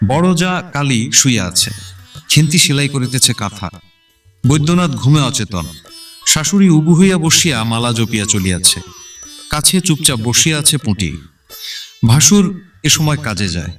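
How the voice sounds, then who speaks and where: A man's voice: mid-range voice, very animated, moderate pace, pauses often, fairly bright tone, distant mic. A man reading literary narration in a dramatic, scripted audio-story style. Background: background instrumental music.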